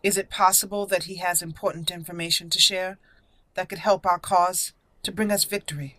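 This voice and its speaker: Woman playing a proud, bright-voiced elf warrior. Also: on the low side, fairly even intonation, low-quality recording. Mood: resolute. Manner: formal, measured, declarative. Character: solemn, proud female elf warrior raised by dwarves.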